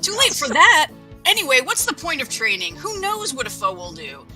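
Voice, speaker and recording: A woman's voice: high-pitched, sing-song, moderate pace, sharp tone, faint music behind. A woman reading cartoon lines and bantering at a casual table read. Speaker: cast member in a table read.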